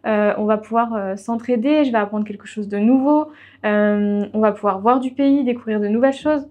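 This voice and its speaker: High-voiced young woman telling her story. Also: moderate pace, phone-quality sound. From of Portuguese family background. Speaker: podcast guest, freelance web writer. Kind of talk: casual conversational storytelling.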